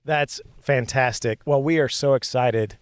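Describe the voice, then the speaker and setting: A male podcast producer introduces and interviews a guest, with an encouraging manner and ready affirmations. He has an average-pitched voice. The recording is dry and close. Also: measured pace, clear, resonant tone, no room sound. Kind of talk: friendly interview.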